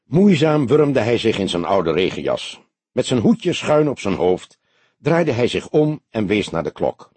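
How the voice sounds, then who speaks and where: A male audiobook narrator reads a scripted story dramatically. His voice is low and husky, with lively movement in pitch and a close, dry sound. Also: a little slow, no room sound.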